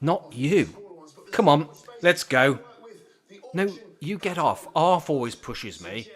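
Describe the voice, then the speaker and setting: An actor playing a cantankerous, sarcastic old care-home resident in an amateur radio play, blunt and full of complaint. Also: male voice, fairly high pitch, very animated, takes it slowly. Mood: grumpy. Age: older.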